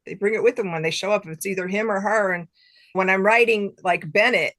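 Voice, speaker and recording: A woman's voice: medium pitch, a little fast, no room sound, low-quality recording. Chatty, giggly woman with a dry, close sound. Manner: animated self-quoting, acting out imagined exchanges; giggly, laughs often while talking. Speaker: guest author. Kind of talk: casual interview chat.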